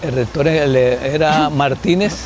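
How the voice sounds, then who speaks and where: A man's voice: fairly high pitch, measured pace, crowd noise. A man in his seventies from Colombia, a guest sociologist in an interview, answering unhurriedly, thinking aloud with restarts and frequent tag questions. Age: 70s.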